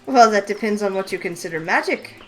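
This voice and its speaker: A woman's voice: medium pitch, brisk, faint music behind, natural voice, rough recording. Woman reading a sly knowing old witch. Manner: teasing, knowing and calm. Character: wise sly older female witch shopkeeper. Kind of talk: voice acting a visual novel.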